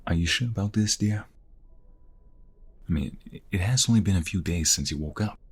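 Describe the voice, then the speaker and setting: A man playing a doting, protective mobster lover in an intimate ASMR roleplay, with a low, gravelly, husky voice. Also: moderate pace. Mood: teasing, fond.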